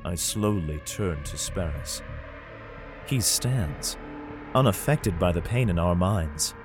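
An actor playing a grim, weary soldier who narrates his ordeal in an audio drama, grave and measured. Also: low voice, animated, music in the background. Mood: strained, grave.

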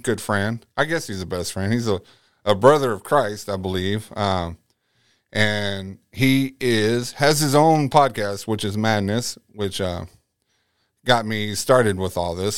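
A man's voice: lowish voice, a bit bright, clear, resonant tone, no echo, studio quality. A man hosting a casual faith podcast, joking and rambling, correcting himself as he goes. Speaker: podcast host. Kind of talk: casual chat.

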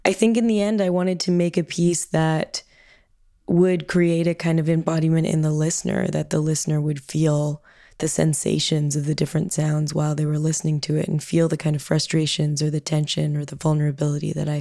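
A woman artist talking reflectively about her work, with a low voice of limited range and frequent pauses and fillers. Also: flat delivery, vocal fry, warm tone, dry recording, studio quality. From Canada. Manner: thoughtful, with frequent ums and hedging "kind of". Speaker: artist and audio producer. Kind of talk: reflective interview answer.

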